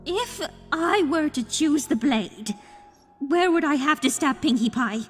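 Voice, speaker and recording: A woman's voice: sits high, animated, measured pace. A woman voicing a frightened, refined cartoon unicorn captive, asking carefully in a dramatic audio story. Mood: fearful. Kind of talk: dramatic fan fiction audio reading. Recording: long reverb with music behind.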